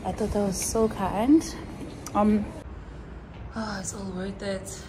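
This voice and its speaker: A woman's voice: medium pitch, fairly even intonation, slow. A young woman from Namibia in her twenties, chatting casually to her phone in a selfie vlog, rambling with plenty of fillers. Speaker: vlogger. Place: outdoor rooftop terrace, then indoors. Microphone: phone held at arm's length. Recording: phone audio with background noise.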